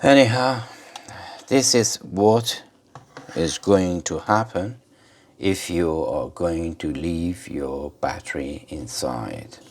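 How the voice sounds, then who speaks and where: A man giving an informal hands-on commentary for a repair video, speaking haltingly in short phrases with pauses. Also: deep voice, a little mellow. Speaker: host of an electronics repair video.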